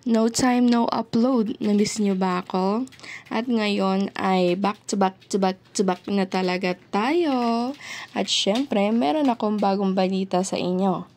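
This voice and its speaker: A woman's voice: medium pitch, unhurried, a little mellow. A woman with a Filipino accent presenting a product update, speaking directly to viewers in an explanatory way. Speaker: product presenter for an audio brand. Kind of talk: product update video presentation, semi-scripted.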